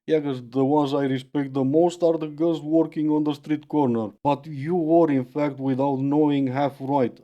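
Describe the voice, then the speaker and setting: A slightly hoarse man giving mocking, dry, sarcastic commentary on video clips. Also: mid-range voice, muffled recording. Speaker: reaction commentator.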